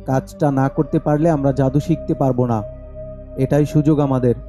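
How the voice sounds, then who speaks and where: A man's voice: medium pitch, fairly even intonation, normal speed, music in the background, pitched below the performer's own voice, lightly acted. A man voicing a bold, stubborn young apprentice magician in a horror cartoon, insistent and dismissive of fear. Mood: determined.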